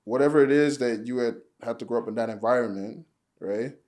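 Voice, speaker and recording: A young man from Nigeria chats as a podcast guest at an ordinary, middling pitch. He rambles easily and leans on loose fillers as he talks things through. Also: fairly quick. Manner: relaxed, rambling, many "like" and "you know" fillers. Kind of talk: casual conversation.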